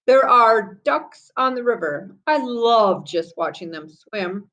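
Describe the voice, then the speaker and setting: A cheerful, dreamy mother of three from a children's storybook, played by a woman reader. She speaks slowly, with lilting delight in what she says. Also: a little high, expressive, a bit bright.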